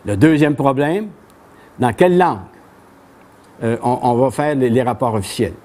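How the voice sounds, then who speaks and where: A man's voice: animated, moderate pace, a little mellow. An older man with a Québécois accent giving a history lecture to a small audience in a café, reading from a tablet in a plain, conversational way. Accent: Québécois French. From Quebec. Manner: plain conversational telling, reads quoted speeches with lifted pitch. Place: small bookshop café with brick walls, before an audience.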